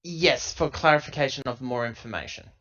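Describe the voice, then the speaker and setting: A man playing a tabletop roleplaying game, improvising casually and thinking out loud in a rambling way, on a lo-fi laptop recording. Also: medium pitch, a little fast.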